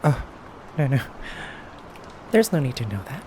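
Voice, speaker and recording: A man playing a menacing, teasing male vampire captor in an ASMR roleplay, speaking softly and hesitantly. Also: medium pitch, sing-song. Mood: coy, probing. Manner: slow, hesitant, teasing, quietly threatening. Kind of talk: ASMR roleplay addressed to the listener. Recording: clearly noisy background ambience.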